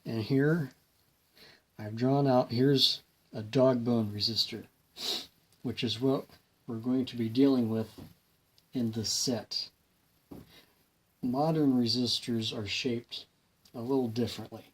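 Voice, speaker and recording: A man's voice: medium pitch, fairly even intonation, takes it slowly, pauses often. A man hosting a hands-on repair tutorial in an informal, folksy way, explaining step by step and thinking aloud. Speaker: host of a hobby electronics repair series. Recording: clear background noise, homemade video.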